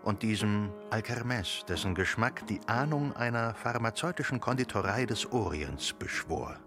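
An actor playing the narrator of a radio play, reading literary prose in a measured way. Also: male voice, on the low side, animated, natural voice. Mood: measured, subdued. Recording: music with sitar behind.

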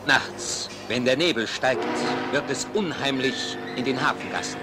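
A man's voice: mid-range voice, unhurried. A man in his thirties from Berlin narrating a vintage crime film trailer in an ominous, sensational, theatrical way. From Berlin, Germany. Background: dramatic film music.

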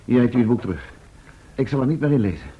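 Husky, fast-talking, sober companion. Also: male voice, medium pitch, very animated. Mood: firm, agitated. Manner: firm, reasoning, formal. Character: sober, cautious, resolute male writer, professor's companion. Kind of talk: Dutch radio play acting. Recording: dull old radio play recording.

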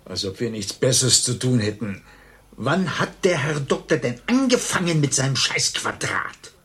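A man's voice: mid-range voice, big pitch swings, normal speed, a bit bright. A man playing a crude, grumbling, long-suffering waiting-room patient in a satirical radio play. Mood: disgusted.

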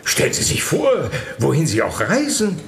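A fawning, stammering archbishop in a radio play. His voice sits high and swoops widely, with slow, halting delivery. The room sounds roomy, with music playing underneath. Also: wildly swooping pitch, creaky, slight background noise, exaggerated voice. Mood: eager. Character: fawning middle-aged male archbishop host.

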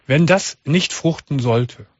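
A man hosting a late-night radio call-in show, giving callers calm, direct advice with frequent restarts. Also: fairly high pitch, slow pace, no echo, muffled recording. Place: radio studio.

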